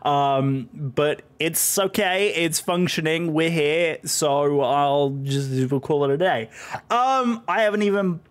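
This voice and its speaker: A British man, hoarse from a voice that is still recovering, chatting casually and effusively with viewers on a live stream. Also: on the high side, slow. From Britain.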